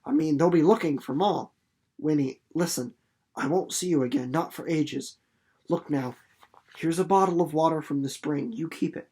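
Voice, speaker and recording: A man's voice: on the high side, moderate pace, warm tone, breathy edge. A man voicing an earnest, plain-spoken country teenage boy in a storybook, folksy and pleading. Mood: urgent, pleading.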